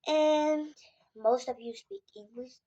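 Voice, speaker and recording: A woman with a South African Afrikaans accent, a native Afrikaans speaker, chatting casually to viewers in a halting, simple way. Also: high voice, dark tone, muffled recording. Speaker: vlogger. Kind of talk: casual vlog chat to viewers.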